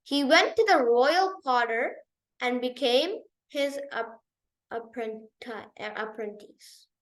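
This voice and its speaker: A woman's voice: a little high, takes it slowly, echoey room, rough recording. A woman narrating a story as a read-aloud, now and then stumbling over words and repeating them.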